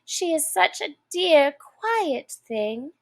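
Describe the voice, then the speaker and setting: A polite, chatty little girl from a storybook, voiced by a woman. The voice sits very high with a lilting, animated melody. She is eager and apologetic, rambling on in an unhurried way. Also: creaky, heavily acted. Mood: soothing, dreamy. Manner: apologetic, soothing, dreamy rambling. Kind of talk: storybook character voice.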